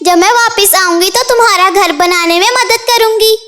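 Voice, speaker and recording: A woman's voice: very high-pitched, moderate pace, pushed and bright. A woman voicing a caring, helpful little female bird, earnest and concerned, in a children's cartoon. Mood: reassuring. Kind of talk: Hindi children's cartoon dialogue.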